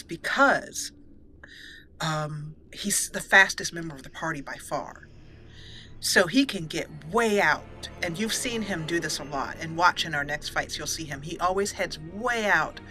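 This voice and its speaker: Casting: female gaming host, bright and clear-cut tone, casual explanatory chat, light music behind. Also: fairly low pitch. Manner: chatty, giggly, explains step by step. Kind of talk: casual let's play commentary.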